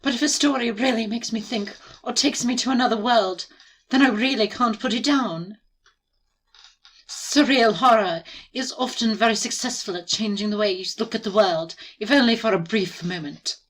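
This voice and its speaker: Woman doing a gentle nerdy game schoolgirl, fake british accent, highish voice, very earnest about books. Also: moderate pace, slightly rough, low-quality recording. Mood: thoughtful, absorbed. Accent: put-on British. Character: shy, gentle, bookish schoolgirl, passionate about novels. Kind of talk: hobby voice-over of visual novel game.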